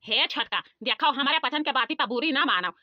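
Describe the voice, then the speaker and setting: Cartoon elderly mother-in-law , played by a woman: high voice, Awadhi accent, repetitive nagging advice, muffled lo-fi audio. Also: a little fast, no echo, lightly acted. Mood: coaxing lecture. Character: bossy, coaxing elderly village mother-in-law. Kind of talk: comic cartoon dialogue.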